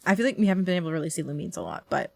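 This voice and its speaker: A woman game streamer giving casual, excitable live reactions and gushing over what she watches. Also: lowish voice, rapid-fire, creaky, no echo, soft background music.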